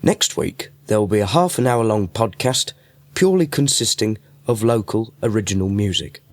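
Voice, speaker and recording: Man narrating a lively scripted radio report. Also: lowish voice, moderate pace, faint music behind.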